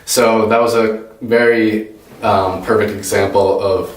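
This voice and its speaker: A man's voice: lowish voice, little pitch movement, a little slow. A young man from the United States giving an informal class presentation about his tutoring, hesitant with many ums and self-corrections. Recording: echoey room, voice from a distance.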